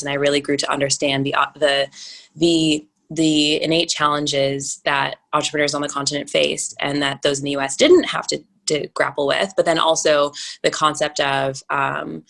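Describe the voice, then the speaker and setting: A young American woman, a venture investor, talking fast and animatedly in an unscripted interview, with frequent restarts and fillers. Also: sits low, little pitch movement, vocal fry, fairly bright tone. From United States. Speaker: venture capital investor, guest.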